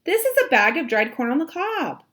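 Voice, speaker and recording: A woman's voice: sits high, very animated, a little fast, a bit bright, voice pushed up. Storybook sailor played by a woman, blustery, puzzled, emphatic, raised swooping voice. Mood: sure of himself. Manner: emphatic, exclaiming.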